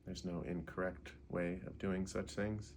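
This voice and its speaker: A man leading a guided meditation in an unhurried way, gently asking questions and leaving pauses. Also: sits low, little pitch movement, slight background noise.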